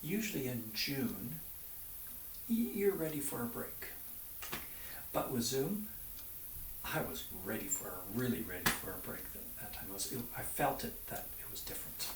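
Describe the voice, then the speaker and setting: A man talking casually in an interview as a teacher looking back on his work, with an expressive way of telling it. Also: mid-range voice, moderate pace. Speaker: private violin teacher. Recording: roomy, distant mic, faint noise.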